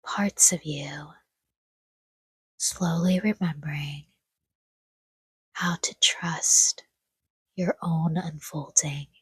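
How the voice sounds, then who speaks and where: A woman softly guiding a sleep meditation in a hushed, breathy whisper, with gentle, soothing delivery in short phrases and long pauses. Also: sits low, steady tone, no echo. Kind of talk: guided sleep meditation, scripted, soft-spoken.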